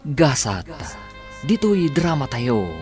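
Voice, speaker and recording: A man narrating a radio drama as announcer, measured and dramatic. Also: medium pitch, sing-song, darkish tone, faint music behind.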